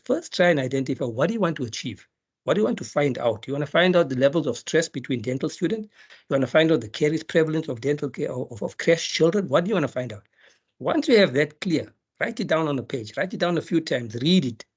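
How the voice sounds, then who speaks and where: A man's voice: a little high, breathy edge. A middle-aged man from South Africa, a dental researcher, giving a chatty webinar lecture full of rhetorical questions and light jokes. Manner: chatty, jokey teacher, asks rhetorical questions.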